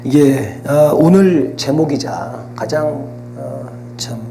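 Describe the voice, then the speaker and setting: Hoarse-throated male talk host. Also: mid-range voice, music in the background. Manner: chatty, quick agreeing backchannels. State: sore throat from a cold. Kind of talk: casual interview talk. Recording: audible hum and background noise.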